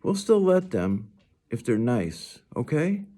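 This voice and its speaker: A man's voice: medium pitch, sing-song, slow, mellow, voice pushed up. A chatty, eager young schoolgirl from a storybook, acted out by a man, with a voice lifted well above his usual talk. She is friendly and matter-of-fact, stressing her words earnestly. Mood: earnest, upbeat.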